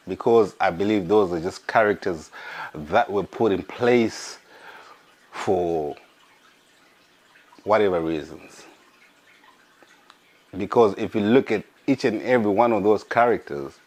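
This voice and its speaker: Podcast host, hoarse, very low voice, slow and searching. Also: male voice. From Africa. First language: an African language. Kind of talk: casual monologue.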